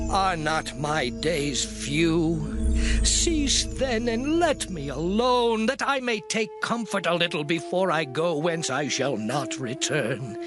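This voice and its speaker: An actor playing a weary, anguished man pleading with God in a solemn, impassioned, theatrical scripture drama over music. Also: high-pitched. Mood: despairing lament. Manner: solemn, impassioned, declamatory, archaic phrasing. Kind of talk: dramatized Bible reading, theatrical monologue. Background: music behind the voice.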